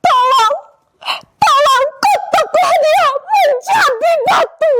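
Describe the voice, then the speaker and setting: A woman's voice: extremely high pitch, lively intonation, slow pace, fairly bright tone, voice pushed up, full character voice. A girl voicing a poor old mother who sobs and pleads for her lost son. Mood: tearful pleading. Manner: sobbing, pleading.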